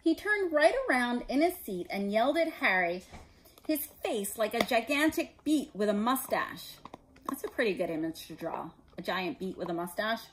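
A woman in her forties reading a children's story aloud as an expressive narrator. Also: fairly high pitch, normal speed, a little hiss or hum, poor audio. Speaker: storybook reader. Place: living room indoors.

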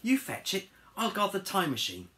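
A woman's voice: mid-range voice, huge pitch swings, normal speed, sharp tone, slight background noise, pitched above the performer's own voice. Storybook pig hero, male, oblivious and cheery, done by a woman, raised voice with big swoops. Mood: breezy. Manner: breezy, enthusiastic.

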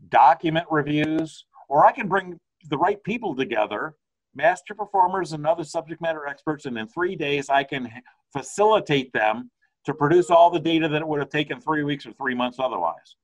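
A man's voice: a little high, lively intonation, normal speed, rough recording. Older American man talking over video call. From United States. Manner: rambling, conversational with frequent restarts. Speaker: consultant. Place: home study with bookshelves. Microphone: video call webcam.

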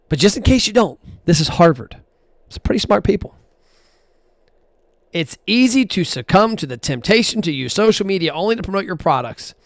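A man talks straight into a close, dry microphone with a somewhat high voice. He is blunt and animated, chatting casually and counting figures aloud as he goes. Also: no room sound. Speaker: podcast host. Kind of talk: casual solo podcast talk.

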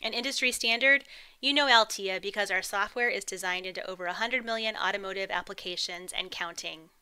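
A woman reading a scripted promotional voice-over in a polished, upbeat, even delivery. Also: medium pitch, brisk, clear, resonant tone. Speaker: corporate narrator.

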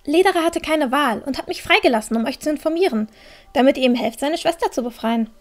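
A woman's voice: high-pitched, expressive, quick pace. A woman playing an earnest, pragmatic young girl adventurer in a fantasy audio drama, explaining and pleading. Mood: earnest, urgent. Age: young adult. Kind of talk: fan-made fantasy audio drama acting.

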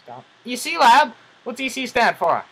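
A man's voice: very high voice, wildly swooping pitch, moderate pace, a bit bright, husky, poor audio. A young man co-hosting a gaming video in a small room, chatting casually and wryly with a friend. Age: young adult. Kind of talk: casual let's play banter. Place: small home room, indoors.

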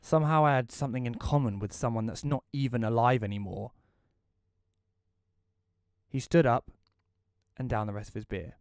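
A man's voice: mid-range voice, normal speed, long pauses, darkish tone, clear, resonant tone, no echo, lightly acted. A man playing a reclusive, wry widower, narrating an audio play in the first person in a dry, reflective way.

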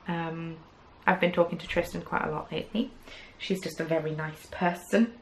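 Gravel-edged woman chatting about yarn. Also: low voice, fairly even intonation, normal speed, a little hiss or hum, rough recording. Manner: chatty, rambling, many "um"s, playful asides. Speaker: knitting podcast host. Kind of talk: casual chat to camera. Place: small indoor craft room with yarn shelves. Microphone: camera at desk distance.

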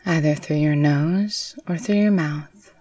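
A woman narrating a guided meditation in a soothing, unhurried, gently instructive way. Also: sits low, dry recording. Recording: distant mic with faint background noise.

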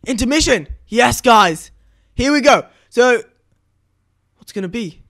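A young man giving excitable gameplay commentary as the host, exclaiming and often repeating his words. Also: very high-pitched, a little slow, creaky. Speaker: gaming video host. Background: game music and sounds.

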